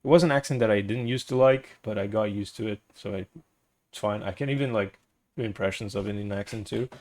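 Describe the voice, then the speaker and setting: Streamer, young man, Portuguese accent, gravelly lowish voice, rambly, gropes for words. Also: brisk, darkish tone. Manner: rambling, searches for words, laughs often. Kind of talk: casual chat with viewers.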